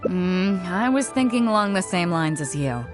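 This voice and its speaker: A woman's voice: on the low side, expressive, normal speed, over music, natural voice. A woman voicing a confident, fair-minded ship captain in a video game, speaking in an assured, casual and persuasive way. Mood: calm, assured.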